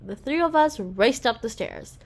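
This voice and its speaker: A woman reading a children's story aloud in an expressive manner, occasionally stumbling and correcting herself. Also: a little high, moderate pace, creaky. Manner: expressive, with slips and self-corrections. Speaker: children's book reader.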